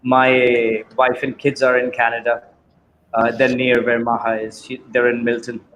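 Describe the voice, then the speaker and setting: A man's voice: medium pitch, monotone, a little slow, fairly bright tone, a little hiss or hum, low-quality recording. A man chatting casually in an online conversation group, friendly and talkative. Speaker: participant, rapper.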